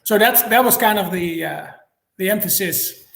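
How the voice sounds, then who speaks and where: A middle-aged man with a Dutch accent, a native Dutch speaker, gives measured, structured answers as an interview guest. His voice sits high for a man and has a lot of vocal fry. The room around him is clearly echoey. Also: fairly bright tone. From Netherlands. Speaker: interview guest, marketing executive. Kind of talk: explanatory interview talk.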